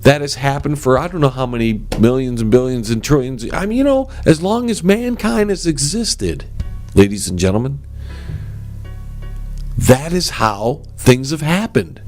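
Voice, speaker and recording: A man hosting his own talk show, with a strong voice, delivering a forceful, animated opinion monologue straight to his listeners. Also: normal speed, soft background music. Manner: animated and forceful, swings up in pitch; addresses listeners as ladies and gentlemen.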